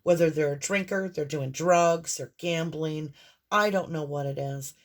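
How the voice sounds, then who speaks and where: A woman with a hoarse voice and a mixed Southern and Northern American accent, giving a casual, rambling tarot reading straight to the viewer. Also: low-pitched, unhurried. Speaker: tarot reader.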